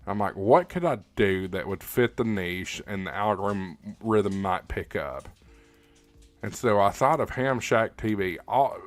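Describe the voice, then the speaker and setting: A man's voice: on the low side, no echo. A man hosting a casual livestream, reading out viewer comments and answering in a conversational, rambling way as he thinks aloud. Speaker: livestream host.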